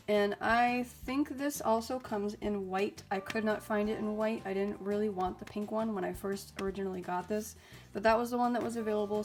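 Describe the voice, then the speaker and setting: Chatty young woman, a little stuffy. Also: medium pitch. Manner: chatty and upbeat, with frequent ums and likes. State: slightly raspy and stuffy, getting over illness. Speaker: YouTube host reviewing mini printers. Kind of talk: casual product review vlog.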